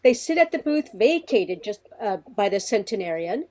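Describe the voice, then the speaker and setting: A woman's voice: mid-range voice, sing-song, moderate pace, warm tone, lightly acted. A woman narrating a radio comedy play as storyteller, reading out the stage directions.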